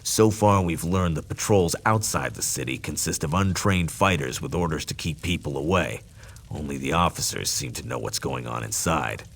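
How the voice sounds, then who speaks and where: A gruff, loyal soldier in a fantasy game. His voice is extremely low and full of gravel, and he delivers his lines with dry humor. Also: lively intonation, normal speed, exaggerated voice. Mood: matter-of-fact briefing. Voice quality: very deep, gravelly, husky. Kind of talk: video game character dialogue.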